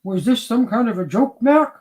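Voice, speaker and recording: A woman's voice: a little high, animated, normal speed, a little mellow, natural voice. A woman playing an exasperated, accusing studio colleague in a dramatic story reading. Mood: angry, stern. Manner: stern, accusatory.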